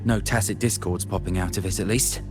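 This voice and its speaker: A man voicing a serious, well-informed investigator in a video game, with a measured manner. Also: sits low, fairly even intonation, music in the background, close to an everyday voice. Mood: serious, composed. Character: composed, informed male investigator. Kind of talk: video game character acting.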